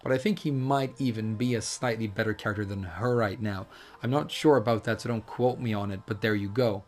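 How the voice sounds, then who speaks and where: A man with a slightly hoarse voice, casually explaining a fighting game character in a chatty, hedging way with frequent fillers. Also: fairly low pitch, a little fast. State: hoarse, losing his voice. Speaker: fighting game guide creator. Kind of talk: casual video game tutorial commentary.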